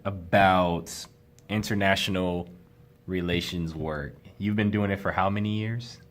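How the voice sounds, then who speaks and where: A man with a very low voice asks questions in an easygoing, conversational way. He often repeats himself as he frames each question. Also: fairly even intonation, measured pace. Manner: conversational, frequent back-channel murmurs. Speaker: interviewer. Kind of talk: casual interview questions.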